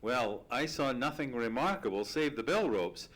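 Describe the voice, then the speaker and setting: A loyal, genteel gentleman companion played by an actor in an old-time radio mystery. The voice is mid-pitched with lively swings. It is formal, earnest and a little hesitant. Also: moderate pace, natural voice, low-quality recording. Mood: earnest, puzzled. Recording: live stage radio play recording.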